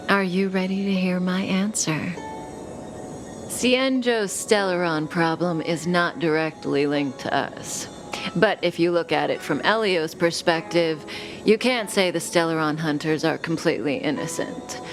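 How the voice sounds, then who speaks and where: Mysterious young female game outlaw, low voice, very slow deliberate delivery, coolly teasing, soft endings. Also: exaggerated voice. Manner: unhurried, deliberate, coyly knowing. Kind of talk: video game character dialogue. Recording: studio voice over game music.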